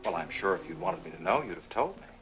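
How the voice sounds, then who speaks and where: An actor playing an earnest, lovestruck young American man, gentle and protective, in a 1940s Hollywood film. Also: low voice, big pitch swings, quick pace, muffled recording. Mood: tender, concerned. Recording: old film soundtrack, music behind.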